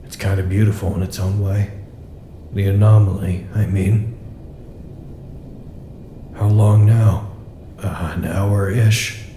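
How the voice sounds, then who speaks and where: A man acting in an audio drama as an anguished, guilt-ridden worker at a doomed secret facility, halting and earnest. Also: low voice, steady tone, roomy sound, noisy background. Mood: awkward, gentle.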